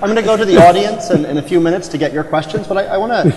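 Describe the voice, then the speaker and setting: A man's voice: high-pitched, very fast, in a large hall, over a noisy crowd, rough recording. A man moderating a business conference panel, probing in a conversational way. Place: large conference hall stage.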